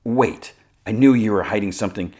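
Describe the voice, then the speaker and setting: A man's voice: lowish voice, fairly quick, a little mellow, voice pushed up, close to an everyday voice. A man voicing a headstrong, combative woman reporter with an emphatic, confrontational delivery. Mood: insistent.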